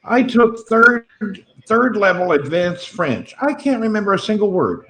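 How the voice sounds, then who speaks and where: Chatty joking man, slightly higher voice, with a faint noise floor. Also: very animated, measured pace, bright, forceful tone, rough recording. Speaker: livestream chat participant. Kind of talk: casual chat.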